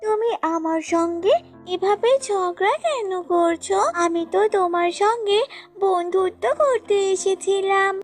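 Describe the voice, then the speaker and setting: A woman voicing a small, gentle baby snake in a cartoon fable, innocent and pleading. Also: very high-pitched, a little slow. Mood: gentle, hurt. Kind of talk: cartoon voice acting in a children's fable. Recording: music bed behind the voice.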